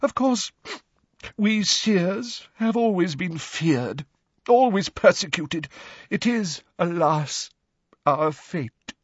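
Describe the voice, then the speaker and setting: A man voicing a theatrical older woman teacher in an audiobook, high-pitched and wavering, melodramatic and wounded. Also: lively intonation, slow, breathy edge, dry recording, highs cut off, full character voice. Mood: dramatic, mournful. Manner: melodramatic, self-pitying, grandly wounded. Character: hysterical, theatrical older female divination teacher.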